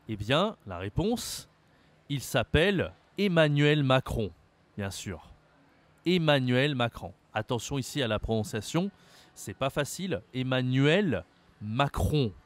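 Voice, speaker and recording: A man from France teaching a French lesson, speaking patiently, repeating words and spelling them out letter by letter. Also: medium pitch. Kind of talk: slow, carefully articulated language lesson.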